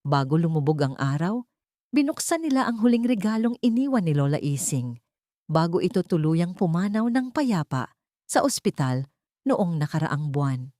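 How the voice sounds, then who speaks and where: Low-voiced woman narrating a dramatic story. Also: very animated, normal speed, mellow, no room sound, studio quality.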